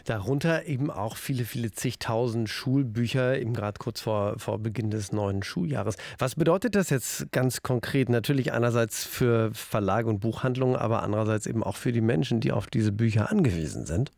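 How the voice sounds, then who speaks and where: Gravelly radio host, low and dry. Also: male voice, dry recording. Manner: calm, measured questioning. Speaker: radio culture show host. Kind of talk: radio interview moderation. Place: radio studio.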